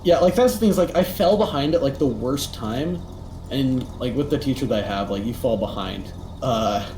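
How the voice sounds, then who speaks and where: A teenage boy chatting casually as co-host, rambling and animated with lots of fillers. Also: medium pitch, normal speed. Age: teens. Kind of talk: casual chat. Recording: echoey room, faint noise.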